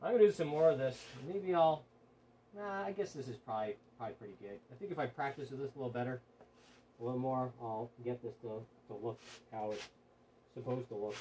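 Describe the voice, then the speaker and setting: A man's voice: mid-range voice, fairly quick, dark tone, a little hiss or hum, poor audio. A man talking casually as he welds in his home garage, rambling and correcting himself often. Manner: rambling, self-correcting, offhand. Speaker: hobby welder, channel host. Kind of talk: casual workshop talk.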